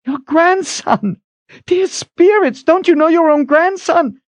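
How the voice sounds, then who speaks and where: A man's voice: extremely high pitch, lively intonation, a little slow, a little mellow, slightly rough, dry recording, pitched above the performer's own voice, heavily acted. A man voicing a frantic young woman who pleads urgently and breathlessly in a dramatic audiobook reading. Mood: frantic pleading.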